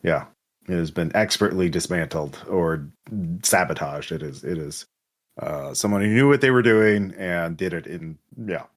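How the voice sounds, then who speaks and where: A man narrating an improvised tabletop game as game master, halting and pausing mid-sentence. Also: deep voice.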